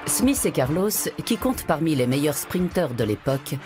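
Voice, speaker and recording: Low-voiced woman narrating over music. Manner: measured, even narration. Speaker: documentary narrator. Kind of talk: scripted documentary narration.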